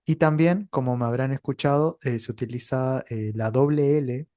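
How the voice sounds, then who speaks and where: A man's voice: mid-range voice, normal speed, dark tone, no room sound, studio quality. A man from Uruguay with a Rioplatense accent, a Spanish teacher who explains things in an easygoing way during a casual chat.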